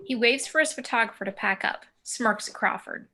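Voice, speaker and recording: A woman's voice: on the high side, fairly quick, bright, forceful tone. A woman giving scripted narration of screenplay action and scene directions at a table read. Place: remote video call.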